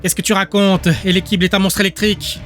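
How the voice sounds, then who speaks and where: A man's voice: flat delivery, brisk, fairly bright tone, soft background music. A man voicing a furious, hateful woman trainer who snaps orders at her monster in an audiobook. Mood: shocked. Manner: shrill, snapping orders.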